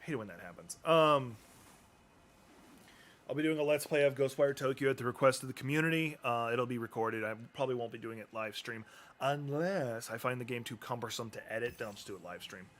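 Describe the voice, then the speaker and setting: A man streaming a game, talking casually through menus with lots of ums and restarts, his voice going hoarse. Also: mid-range voice, brisk, fairly bright tone. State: losing his voice. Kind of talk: casual live-stream commentary.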